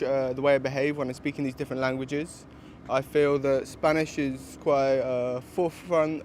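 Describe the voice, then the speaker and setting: A man's voice: fairly high pitch, darkish tone, slight background noise. A man giving an articulate, reflective answer in a street interview.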